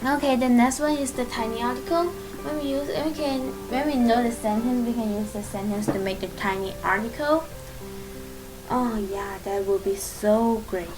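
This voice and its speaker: A woman with a Chinese accent and a slightly high voice talks her viewers through a lesson. Her English halts and she reaches for words with plenty of "um"s, but she keeps reassuring and encouraging them. Clear guitar music plays behind her. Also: low-quality recording. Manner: halting, searching for English words, many fillers. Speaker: language teacher and channel host. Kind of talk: casual spoken lesson to camera.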